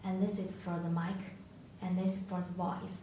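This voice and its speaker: A woman who is a non-native English speaker, giving an unscripted product tutorial in a halting, hesitant way with searching pauses. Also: fairly low pitch, little pitch movement, warm tone. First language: not English. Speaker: product demonstrator. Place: echoey indoor room, tabletop demonstration. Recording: echoey room, distant mic, faint noise.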